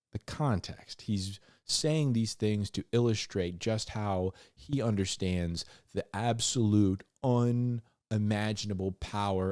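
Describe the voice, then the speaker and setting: A man hosting a Bible reading, mixing scripture with informal, slangy commentary and animated asides. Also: lowish voice, dry recording, clean studio sound. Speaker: host of a Bible reading show.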